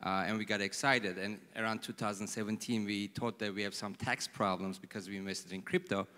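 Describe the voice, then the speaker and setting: A man from New Jersey introducing himself plainly and haltingly on a panel. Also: fairly low pitch, steady tone, pushed and bright. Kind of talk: casual self-introduction. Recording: long hall reverb.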